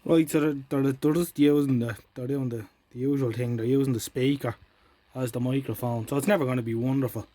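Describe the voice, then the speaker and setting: An Irish man giving casual, informal commentary as he does hands-on repair work, muttering to himself in an unhurried way. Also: medium pitch, fairly even intonation, dark tone. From Ireland. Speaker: presenter of a vintage radio repair channel.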